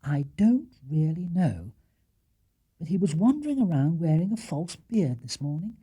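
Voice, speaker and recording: Man putting on a fretful, high-pitched British housewife. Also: sing-song, moderate pace, warm tone, husky. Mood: puzzled, suspicious. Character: suspicious, fretful middle-aged housewife.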